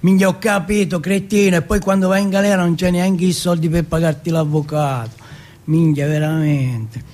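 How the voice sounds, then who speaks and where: A man's voice: a little high, fairly even intonation, moderate pace, darkish tone, natural voice. A man acting out cocky, foul-mouthed teenage street boys from Turin in a stage monologue, slangy and full of swearing. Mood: scornful. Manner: slangy, swearing, repetitive filler words.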